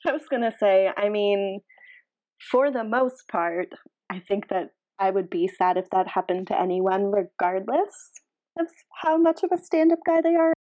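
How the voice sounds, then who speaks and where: A woman's voice: mid-range voice, animated, measured pace, dark tone, no echo. A woman co-hosting a sports podcast in casual, conversational chat. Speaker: podcast co-host.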